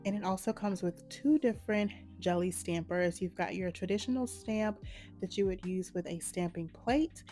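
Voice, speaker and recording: A woman hosting a nail art channel, slightly hoarse from a cold, talking viewers through a manicure step by step in a relaxed, matter-of-fact way. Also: lowish voice, normal speed, soft background music. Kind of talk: casual step-by-step tutorial narration.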